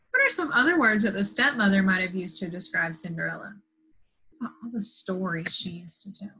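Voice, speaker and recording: A woman teacher leading an interactive lesson for young children after a read-aloud, in simple, patient phrases with questions and drawn-out key words. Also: medium pitch, sharp tone, breathy edge, poor audio. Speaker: primary school teacher.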